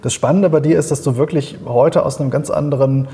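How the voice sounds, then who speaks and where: Interview host, man, dark gravelly voice, reverberant room, asks long framing questions. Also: medium pitch, a little fast. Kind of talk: podcast interview.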